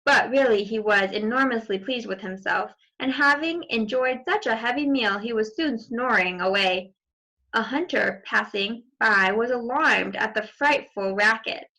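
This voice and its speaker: A woman's voice: a little high, a little slow, pushed and bright, low-quality recording. A woman reading a children's story aloud expressively, with asides to young listeners. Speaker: storybook reader.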